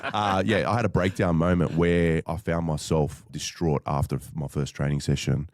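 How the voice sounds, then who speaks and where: A man as a podcast guest, telling his story in a measured, thoughtful way with frequent fillers. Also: rumbling low, fairly even intonation, no echo, studio quality. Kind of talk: reflective conversational storytelling.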